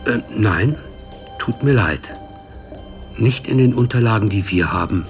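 An actor playing a matter-of-fact male official at a care institution, measured and polite, in a naturalistic radio drama. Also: on the low side, animated, creaky, music in the background.

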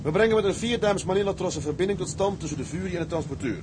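An actor playing a level-headed, decisive tugboat captain who gives orders in a Dutch radio drama. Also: male voice, sits high, lively intonation, racing. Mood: briefing, authoritative. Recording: clear engine and sea ambience noise.

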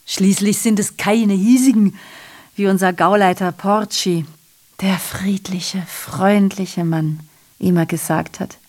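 A female messenger of grim past events in a theatrical radio play. Her voice sits at a middle pitch. She declaims with sardonic mockery, then turns confiding, as if sharing a nasty secret. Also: steady tone, slow pace, a little mellow. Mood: sinister, confiding. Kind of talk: theatrical radio drama monologue.

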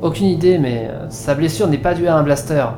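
A man's voice: fairly high pitch, a little fast, noisy background, natural voice. A man acting as a cautious spaceship investigator in a French science-fiction audio drama. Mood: focused, puzzled. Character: cautious male spaceship investigator, afraid of robots. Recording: echoey reverberant room, music behind. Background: music.

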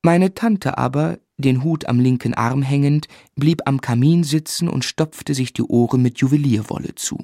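Actor as wry guy telling his life story, measured literary narration, dry close sound. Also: mid-range voice, dark tone, no echo, lightly acted. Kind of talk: literary narration in a radio play.

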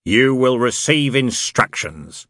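Man voicing a malicious male demon, slow menacing drawl, dry close sound. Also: fairly low pitch, lively intonation, pushed and bright, dry recording, full character voice. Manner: slow, drawn-out, menacing delivery.

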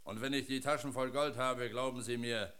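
An actor playing a blunt, independent hired worker in a German radio drama, speaking firmly and matter-of-factly. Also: male voice, mid-range voice, steady tone, moderate pace, a bit bright, close to an everyday voice. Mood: blunt, resolute. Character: blunt, independent male hired worker, unimpressed by his master.

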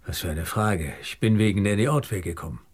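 An actor playing a troubled theatre director under suspicion in a German radio drama, earnest and probing. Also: male voice, lowish voice, fairly quick, slightly rough, dry recording, clean studio sound. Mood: stern.

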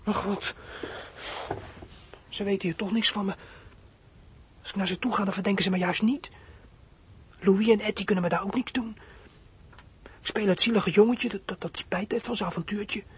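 Husky, high-voiced frightened runaway boy. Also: fairly even intonation, fairly bright tone, full character voice. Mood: nervous, plotting. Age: teens. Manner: anxious, halting thinking aloud. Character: frightened, scheming fourteen-year-old runaway boy. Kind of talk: radio play acting, monologue.

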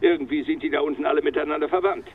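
An actor playing a stubborn, articulate and formal French police commandant in a German radio crime drama. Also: male voice, a little high, steady tone, racing, slight background noise, lightly acted. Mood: explanatory. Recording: dull, band-limited phone-call sound, faint music.

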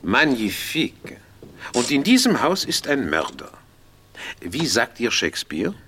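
A pompous older Belgian detective for a comic radio play. His delivery swings widely in pitch and is full of self-important courtesy. Vehicle noise runs clearly behind him. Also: wildly swooping pitch, measured pace, pushed and bright, heavily acted. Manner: pompous, theatrical, peppers speech with French phrases.

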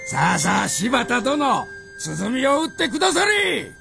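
Clownish samurai retainer, very high gravelly voice, fawning, theatrical period radio drama. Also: male voice, huge pitch swings, measured pace, music in the background, full character voice. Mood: clownish, excited. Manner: obsequious, theatrical, deferential speech.

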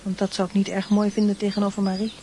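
A woman plays a gentle, sensible mother in a radio play, middle-aged and medium in pitch. She advises calmly, with faint noise in the background. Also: fast, natural voice. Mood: gently concerned. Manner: calm, advising. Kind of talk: radio drama acting.

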